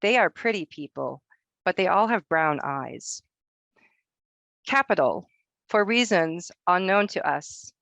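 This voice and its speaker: Gravelly-voiced woman reading an academic talk. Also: fairly low pitch, a bit bright, no room sound. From Northern Norway. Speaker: researcher presenting at a roundtable. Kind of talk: prepared academic presentation.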